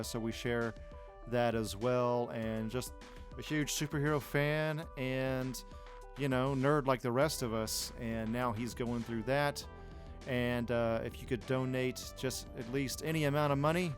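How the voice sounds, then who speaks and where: A male podcast co-host with a laid-back, rambling manner of talking. His voice sits in a middle range, with faint guitar music underneath. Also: medium pitch. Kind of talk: casual podcast chat.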